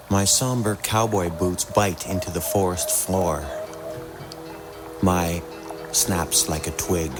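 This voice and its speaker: A man reciting surreal first-person poetry in a deliberate, unhurried way. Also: low voice. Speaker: poetry reader. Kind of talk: spoken-word poetry reading. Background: music soundscape.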